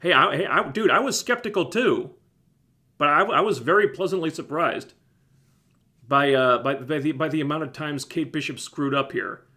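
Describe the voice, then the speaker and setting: A man's voice: fairly high pitch, moderate pace. Man with a scratchy, phlegmy throat. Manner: relaxed, rambling, self-interrupting. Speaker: livestream host reviewing TV shows. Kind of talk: casual livestream chat answering viewers.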